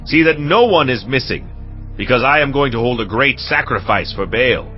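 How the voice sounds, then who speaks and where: An actor playing a ruthless, zealous warrior king in a dramatized Bible audiobook, speaking in a slow, imperious, declamatory way. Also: medium pitch, lively intonation, natural voice. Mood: commanding. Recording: slightly dull audio, music behind. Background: background music.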